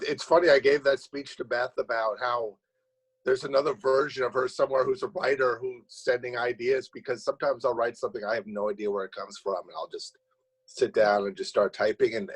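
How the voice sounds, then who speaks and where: A man's voice: mid-range voice, slightly rough, rough recording. A man answering interview questions as a playwright on a video call, casually rambling and often restarting his phrases. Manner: rambling, halting with repeated starts.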